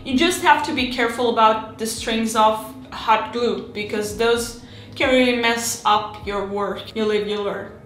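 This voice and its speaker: Woman, 30s, halting casual hobby chat, slightly high voice, roomy home recording. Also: fairly bright tone, soft background music. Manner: chatty, halting, frequent restarts and fillers. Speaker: miniature terrain hobbyist. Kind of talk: casual hobby vlog narration.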